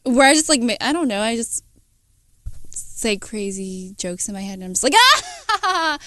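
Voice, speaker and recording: A woman's voice: fairly high pitch, very animated, moderate pace, bright, forceful tone, no echo. A woman guest on a casual podcast, giggly and playful, trailing off when she is unsure.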